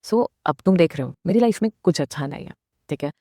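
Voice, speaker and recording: A woman's voice: fairly low pitch, lively intonation, fast, dark tone, no echo, studio quality. A woman in her thirties giving a heartfelt motivational talk to her viewers. She speaks earnestly, mixing Hindi with English phrases. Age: 30s. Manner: speaks directly to viewers, asks them questions. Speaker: vlogger.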